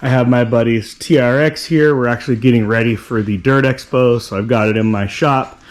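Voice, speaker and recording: A man's voice: mid-range voice, fairly even intonation, fairly quick. A man giving a casual, enthusiastic hands-on product walkthrough, speaking informally and a little hesitantly into an action camera. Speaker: vlogger demonstrating vehicle radio gear. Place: workshop, in and around a side-by-side vehicle. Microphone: handheld GoPro action camera.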